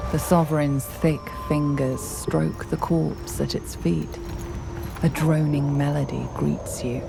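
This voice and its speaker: A woman with a very deep voice narrates a fantasy game over loud music. She is slow and measured, with a gravelly creak and a hushed, dramatic storyteller's delivery. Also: steady tone, rough recording.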